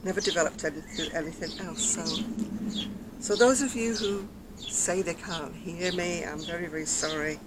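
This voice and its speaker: Older woman vlogger, very soft quiet voice, chatty and rambling, outdoors with loud background noise. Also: lowish voice, normal speed. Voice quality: very soft, quiet voice after throat surgery. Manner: chatty, rambling, self-mocking, laughs softly. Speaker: craft vlogger. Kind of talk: casual chat to camera. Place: outdoors on a decking by a brick wall. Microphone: camera in front at close range.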